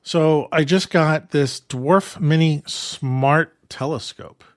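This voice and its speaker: A man's voice: fairly high pitch, slow, dry recording. New york guy cohosting a podcast, easygoing rambly talk, dry close sound. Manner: relaxed, rambling with frequent restarts and laughs. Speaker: podcast co-host. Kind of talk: casual chat.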